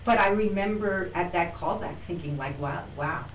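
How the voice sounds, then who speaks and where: A middle-aged woman, an actress and acting teacher, telling her story as an interview guest, animated and acting out quoted lines with frequent restarts. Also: on the low side, normal speed. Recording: roomy, distant from mic, faint noise.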